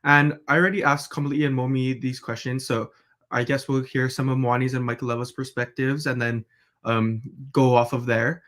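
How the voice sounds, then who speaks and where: A young man from Hawaii in his twenties hosting a casual podcast, relaxed and halting, with many fillers. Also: medium pitch. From Kauai, Hawaii. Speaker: podcast host.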